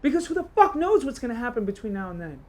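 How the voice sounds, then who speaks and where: A woman playing a defensive, ambitious playwright in a film drama, husky, halting and profane in a naturalistic way. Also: fairly high pitch, very animated, a little mellow. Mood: evasive. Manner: halting, profane, self-justifying. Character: defensive, ambitious playwright fleeing a long relationship.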